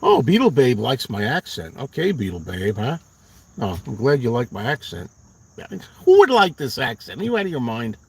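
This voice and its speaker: A man's voice: medium pitch, huge pitch swings, moderate pace, breathy edge. A middle-aged man with a Brooklyn accent, chatting casually from his car and answering viewers in a rambling, self-deprecating way. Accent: New York, Brooklyn. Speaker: live stream host. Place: parked car.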